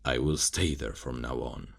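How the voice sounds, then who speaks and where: Man acting a gravelly, measured royal adviser. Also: very deep voice, full character voice. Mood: measured, resolved. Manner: measured, formal. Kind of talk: fantasy audio roleplay acting.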